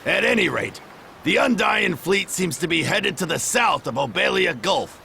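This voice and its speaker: A man playing a gruff, seasoned seafarer in a video game, gravelly and folksy with clipped dialect phrasing. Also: high-pitched, expressive, normal speed, pushed and bright, slight background noise, full character voice. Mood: cautioning. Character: gruff seasoned male Norman seafarer, plain-spoken elder.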